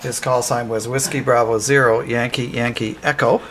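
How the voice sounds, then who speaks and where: A man's voice: mid-range voice, steady tone, moderate pace, fairly bright tone. A man giving an informal, methodical explanatory tour as a member of an amateur radio club. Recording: noisy ambient amateur video sound.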